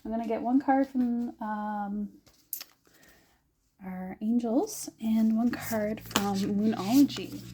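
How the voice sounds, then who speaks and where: A young adult woman giving a gentle, musing tarot reading, her voice a little raspy and scratchy, often laughing softly. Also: a little high, takes it slowly. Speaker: tarot reader. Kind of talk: soft-spoken tarot reading.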